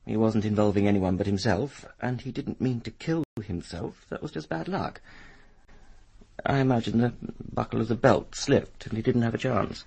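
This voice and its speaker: An actor playing a sardonic, impatient upper-class young Englishman in a British radio drama, with a gravelly, husky voice. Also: mid-range voice, moderate pace, darkish tone. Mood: matter-of-fact. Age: young adult. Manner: sardonic, articulate, dismissive.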